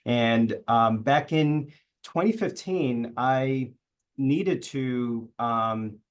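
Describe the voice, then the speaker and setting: A man from New York City speaking on a webinar panel over a video call. His pitch sits in the middle, and he speaks haltingly, pausing and restarting often. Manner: hesitant, many uh fillers and restarts. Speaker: panelist, public health professor. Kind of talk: self-introduction on a webinar panel.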